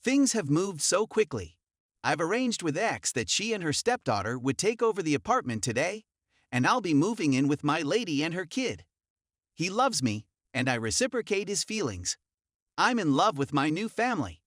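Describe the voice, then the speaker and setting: A man tells an online forum story in the first person, his voice pitched a little high and moving up and down a lot. The sound is dry and close, with no room around it. He keeps an even storytelling manner. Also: normal speed, no room sound. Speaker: narrator.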